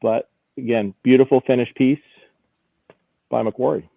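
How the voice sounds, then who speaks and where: A man presenting auction lots in an informal live preview over a video call, enthusiastic and detailed, often pausing to find his phrasing. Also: medium pitch, fairly even intonation, creaky, no echo, phone-quality sound.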